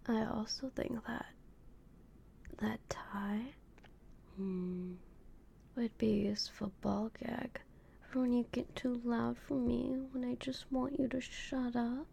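A young woman whispering slowly and intimately as a doting, possessive girlfriend in an audio roleplay. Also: a little high, fairly even intonation, creaky, slight background noise, exaggerated voice. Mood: teasing. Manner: soft whispering, slow and intimate.

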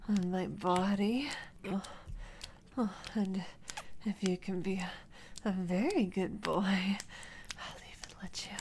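Woman, close-mic intimate whisper, very slow and breathy, faint hiss behind. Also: mid-range voice. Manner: soft breathy whisper, soothing, teasing. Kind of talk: intimate whispered audio roleplay.

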